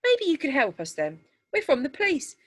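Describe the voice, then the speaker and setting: A woman voicing a friendly, brisk policewoman in a story, politely questioning children. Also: high-pitched, huge pitch swings, pitched above the performer's own voice. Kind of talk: storybook character dialogue.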